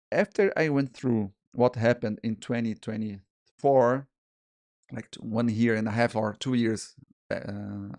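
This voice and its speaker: A man in his forties, a native Portuguese speaker with a Portuguese-speaking accent, hosting a casual solo talk. He speaks haltingly in English, restarting and repeating words and often checking in with "okay?" and "guys". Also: mid-range voice, vocal fry, darkish tone, dry recording, clean studio sound. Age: 40s. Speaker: freelance illustrator and podcast host.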